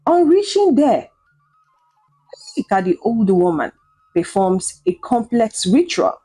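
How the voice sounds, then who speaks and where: A woman's voice: on the high side, sing-song, slow pace, warm tone, husky, soft background music. A woman storyteller giving a dramatic scripted narration of a folktale.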